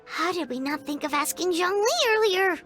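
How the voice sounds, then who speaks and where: A woman voicing a tiny, excitable female fairy companion who squeaks and speaks of herself in the third person. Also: normal speed, music in the background. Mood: excited.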